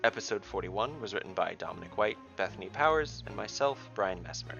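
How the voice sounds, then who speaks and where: A man hosting a podcast, reading scripted fantasy narration in a measured, dramatic storytelling manner. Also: on the low side, animated, creaky, fairly bright tone, muffled recording, soft background music.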